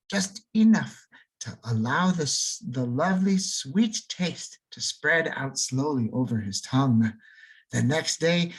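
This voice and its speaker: A man reading a children's storybook aloud, slowing down and lingering on key words, with free swings in pitch and loudness for emphasis. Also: low-quality recording. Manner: expressive, lingers and slows on key words for effect. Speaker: audiobook reader.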